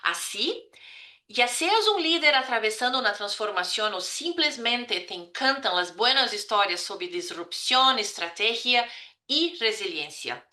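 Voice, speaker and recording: A woman hosting a podcast, reading a scripted welcome and then interviewing a guest in an upbeat, measured way. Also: a little high, pushed and bright. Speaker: podcast host. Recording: echoey room.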